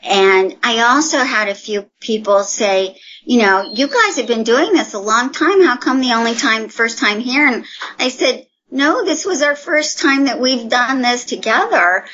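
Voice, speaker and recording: A woman's voice: fairly high pitch, lively intonation, measured pace, fairly bright tone, muffled recording, a little hiss or hum. A woman telling a story in a chatty, enthusiastic way in a casual podcast conversation.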